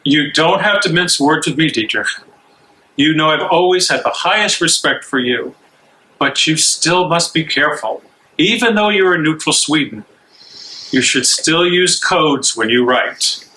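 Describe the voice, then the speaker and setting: An actor playing a cautious English bishop in a stage reading, formal and admonishing in manner. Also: male voice, a little high, animated, unhurried, bright, forceful tone, slight background noise, rough recording. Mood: cautioning.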